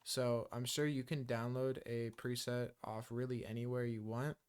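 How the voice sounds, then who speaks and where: Smooth-voiced man, casual tutorial talk. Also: mid-range voice, level tone, moderate pace, a little mellow. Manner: loose and chatty, many ums and uhs. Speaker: music production tutor. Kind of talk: casual screen-recorded software tutorial.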